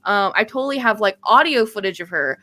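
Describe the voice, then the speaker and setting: A woman's voice: mid-range voice, animated, a little fast, sharp tone, soft background music. A woman co-hosting a livestream, chatting casually in an emphatic, slangy way and often repeating herself for emphasis. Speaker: co-host on a visual novel livestream. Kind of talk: casual chat.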